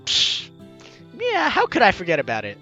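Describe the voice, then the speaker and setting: Lively high-voiced man reading a game. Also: moderate pace, breathy edge, dull audio, faint music behind. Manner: lively, laughs, breaks off to comment. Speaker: let's play host reading a visual novel. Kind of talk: playthrough reading with commentary.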